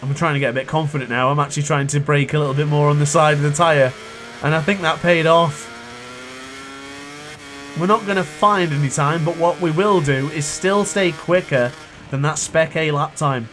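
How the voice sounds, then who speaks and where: A young man with a cold-hoarsened voice, chatting and thinking aloud while he commentates his own racing game play. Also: a little high, normal speed, a bit bright, slight background noise. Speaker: gaming youtuber. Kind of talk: live gameplay commentary.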